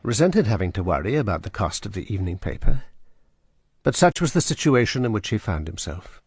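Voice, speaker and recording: A man narrating a period radio drama in a measured, grave storytelling manner. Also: lowish voice, big pitch swings, noisy background.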